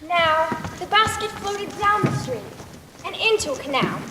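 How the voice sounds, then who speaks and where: A woman's voice: extremely high pitch, animated, normal speed. A young teen girl narrating a scripted folk tale as storyteller on a school stage. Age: young teen middle schooler. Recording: echoey hall with faint noise.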